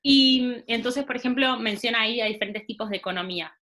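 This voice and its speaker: A woman's voice: on the high side, a little fast, pushed and bright. A woman in her thirties with an Argentine accent, leading an online workshop over a video call, thinking aloud with many fillers and restarts. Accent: Argentine Spanish. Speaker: workshop facilitator. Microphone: laptop mic on video call.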